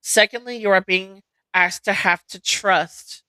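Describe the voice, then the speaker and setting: A woman reading oracle card meanings aloud as host, in a measured, deliberate way with an occasional stumble. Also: mid-range voice, fairly even intonation, pushed and bright, no echo, low-quality recording.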